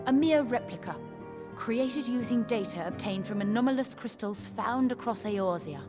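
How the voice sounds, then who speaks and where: A woman playing a brisk, businesslike member of a fantasy order in a video game cutscene. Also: a little high, lively intonation, over music, lightly acted. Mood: matter-of-fact.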